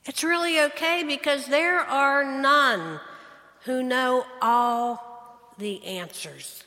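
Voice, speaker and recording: A woman delivers a sermon in an unhurried, deliberate way, often pausing to put questions to her congregation. Her voice sits high and bright, and it rings out with the long reverb of a church sanctuary. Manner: earnest, asks the congregation questions. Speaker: pastor. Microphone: church microphone, switching mics.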